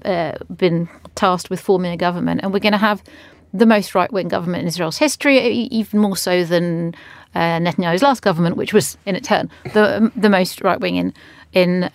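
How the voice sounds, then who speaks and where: A woman's voice: on the low side, vocal fry, no echo. A woman speaking earnestly as a radio panel guest, stumbling now and then. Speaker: studio guest commentator.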